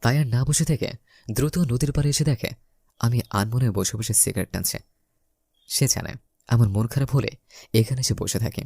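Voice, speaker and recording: A male storyteller reads a scripted romantic tale in the first person. His voice is medium in pitch with a dry, echo-free sound, and he acts out lines of dialogue. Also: moderate pace, vocal fry, long pauses, mellow. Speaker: story narrator.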